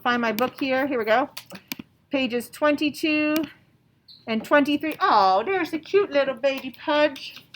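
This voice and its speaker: A woman's voice: high voice, unhurried. A woman working as an elementary teacher, leading a lesson and read-aloud for children in simple, repeated phrases. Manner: instructional, simple phrasing with repetition. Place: indoor classroom. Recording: echoey classroom, distant mic, background noise.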